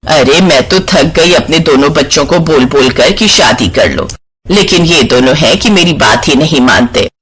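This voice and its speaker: A man voicing an elderly village mother-in-law who is weary, scheming and given to complaint, in an animated moral tale. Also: on the high side, moderate pace, pitched below the performer's own voice. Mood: exasperated, scheming. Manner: melodramatic, lamenting. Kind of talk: Hindi animated moral-story acting.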